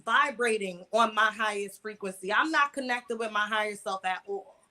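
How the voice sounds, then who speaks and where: Bright, forceful young American woman telling her story. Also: mid-range voice, moderate pace. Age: mid twenties. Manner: colloquial, emphatic with repeated words for stress. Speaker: interview guest, online video creator. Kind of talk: candid personal storytelling in an interview.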